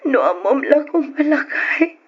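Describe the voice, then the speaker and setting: A woman playing an anguished, devoted mother in an old-time Ilocano radio drama, pleading tearfully in drawn-out phrases. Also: sits high, lively intonation. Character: anguished, devoted mother of a gravely ill son. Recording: muffled, noisy old radio recording.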